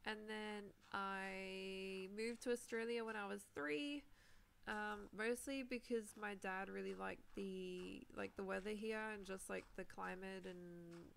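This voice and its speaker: A woman's voice: medium pitch, fairly even intonation, slow, vocal fry, slight background noise. A young woman from New Zealand chatting casually while playing a game on a live stream, rambling with fillers and frequent laughs. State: recovering sore throat. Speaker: Minecraft streamer.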